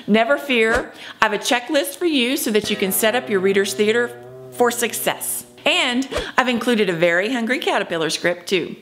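Woman, fifties, fairly high voice, drama teacher, emphatic scripted instructional delivery. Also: fairly bright tone. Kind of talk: scripted instructional video to camera. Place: indoor room at home.

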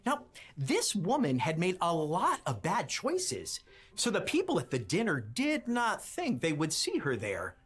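A woman playing a friendly mail carrier who tells children Bible stories in simple, emphatic, expressive phrases. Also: fairly low pitch, measured pace, breathy edge, heavily acted. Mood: earnest storytelling. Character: friendly mailman storyteller, addressing children as friends.

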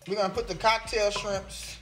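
A man's voice: sits high, little pitch movement, normal speed, background noise. An American man in his thirties cooking as a guest, with teasing, sassy, casual banter. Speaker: guest cook. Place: home kitchen, indoors. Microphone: camera a few feet away. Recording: echoey kitchen, distant mic, music behind.

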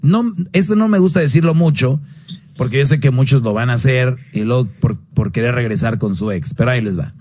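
A man's voice: fairly quick, dark tone. A man hosting a call-in advice show on the radio, blunt and preachy, pushing his voice up to stress a point. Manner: blunt, preachy, swings pitch up for emphasis.

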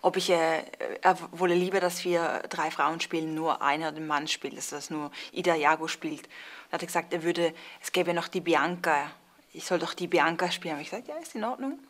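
A young woman tells an anecdote at an easy, chatty pace. Her voice sits somewhat low, and she sounds relaxed in an indoor theatre space. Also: normal speed. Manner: chatty storytelling with laughs. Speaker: actor. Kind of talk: interview.

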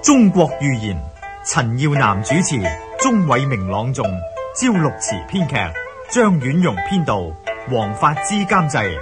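A man's voice: medium pitch, big pitch swings, a little slow. A man reading scripted programme credits as an announcer. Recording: plucked-string music behind the voice. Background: plucked-string instrumental music.

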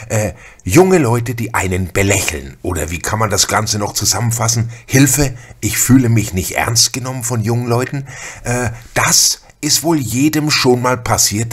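Husky middle-aged man hosting a podcast. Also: fairly low pitch. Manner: chatty, informal, direct advice-giving. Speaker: podcast host. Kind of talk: casual spoken podcast monologue addressed to listeners.

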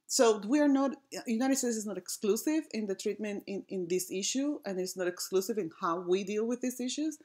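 A woman from gives a reflective presentation over slides, pausing often with ums and restarting her phrases. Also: mid-range voice, a little mellow. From Puerto Rico. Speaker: design lecturer.

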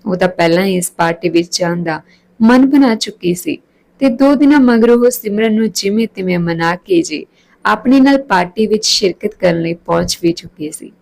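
A woman's voice: medium pitch, expressive, normal speed, vocal fry, a little mellow, rough recording. A woman narrating a scripted story as a storyteller.

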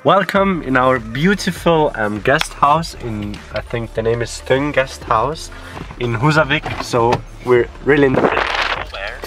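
A man's voice: medium pitch, unhurried, over music. A young man chatting casually through a travel vlog and unsure how to say the local place names. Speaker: vlogger. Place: inside a car and a small guest room.

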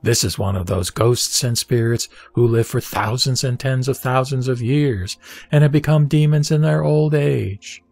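A man reading a Buddhist scripture aloud in a slow, measured recitation. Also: medium pitch, creaky, dry recording. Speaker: scripture reader. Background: soft background music.

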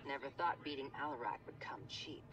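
A woman's voice: very deep voice, a little fast, far from the mic, background noise. Cool female covert operative. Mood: cool, resolute. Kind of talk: video game dialogue. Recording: game music and effects behind.

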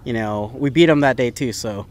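A young adult man born in the Philippines, a professional golf caddie answering interview questions in a relaxed, rambling way. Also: medium pitch, moderate pace. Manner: relaxed, talks in loose run-on phrases with fillers. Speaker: PGA Tour caddie, guest.

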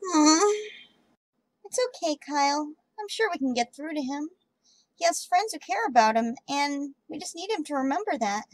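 A woman voicing a shy, caring young cartoon girl in an amateur comic dub, gentle and hesitant. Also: high voice, expressive, moderate pace, no room sound, low-quality recording.

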